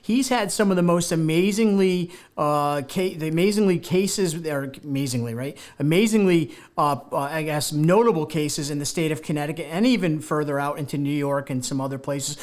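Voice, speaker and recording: Upbeat talk show host, slightly high voice. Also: male voice, moderate pace, hardly any pauses, a little mellow. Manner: enthusiastic, rambling, many fillers and restarts. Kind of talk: live studio talk show. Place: local TV studio.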